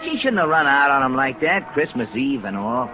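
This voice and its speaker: A man's voice: mid-range voice, very animated, moderate pace, muffled recording, natural voice. A man playing a breezy, folksy American witness in a 1950s radio drama, talking in slang. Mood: pitying. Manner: folksy, colloquial. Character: breezy, slangy male witness with some pity. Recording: old radio recording with faint rainy hiss.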